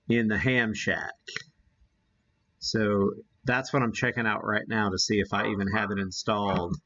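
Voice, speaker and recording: A man talks casually as he hosts a live demonstration. His delivery is slow and halting, as if working things out aloud, with a folksy, self-deprecating manner. The recording is somewhat dull and lo-fi. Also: medium pitch, fairly bright tone. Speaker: host of a live ham radio demonstration. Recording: dull, muffled lo-fi sound.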